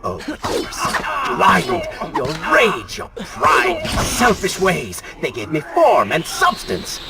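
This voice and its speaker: An actor playing a vengeful, power-hungry dark alter ego in a video game, taunting and theatrical. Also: male voice, lowish voice, sing-song, measured pace, far from the mic, over loud music. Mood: scornful rage. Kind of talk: dramatic game cutscene acting.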